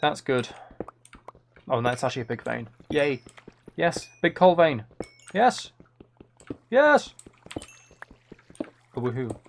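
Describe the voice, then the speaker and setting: A man's voice: mid-range voice, sing-song, slow, gravelly, pauses often, a little hiss or hum. A man chatting casually as he live-streams a game, thinking aloud in an easygoing running commentary. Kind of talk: casual chat while playing a game live.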